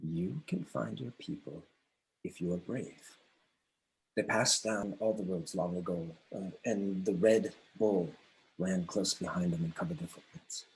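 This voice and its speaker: A man's voice: low-pitched, measured pace, creaky, darkish tone, a little hiss or hum, poor audio. A man reading the part of a scatterbrained male butterfly in a play table read, rattling off scraps of quotes and jingles. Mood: hushed. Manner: rambling, jumps between scraps of quotes and jingles. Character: flighty babbling butterfly, male.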